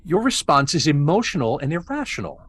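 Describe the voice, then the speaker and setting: Obsessive military scientist, male. Arrogant, condescending, very animated pitch. Man acting at a table read. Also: brisk, breathy edge, heavily acted. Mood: heated. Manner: dramatic, condescending.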